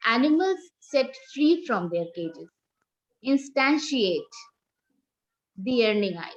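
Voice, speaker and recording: A woman with an Indian accent presenting poetry in measured short phrases with pauses, over a lo-fi online call. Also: a little high, big pitch swings. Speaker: poet, event participant. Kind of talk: poetry recitation. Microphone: video call.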